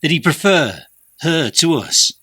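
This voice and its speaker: A man acting a troubled, doubting disciple in a dramatised ancient religious text, speaking intensely and deliberately in short, broken phrases. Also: fairly high pitch, big pitch swings, pushed and bright, husky, no room sound, studio quality, heavily acted. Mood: indignant, scornful.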